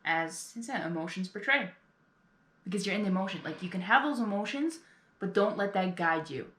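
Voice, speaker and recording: A woman's voice: medium pitch, lively intonation, fairly quick, a bit bright. A woman giving casual reaction commentary, explaining things in an emphatic, exasperated way. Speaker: reaction video host. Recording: echoey room.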